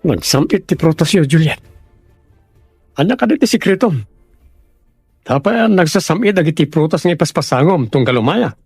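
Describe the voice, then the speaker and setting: A man's voice: on the high side, lively intonation, normal speed, pauses often, a little mellow, husky, no echo, faint music behind. A man with a Filipino Ilocano accent acting a patient family man in an audio drama, coaxing and conversational. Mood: friendly. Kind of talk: radio drama acting in dialogue.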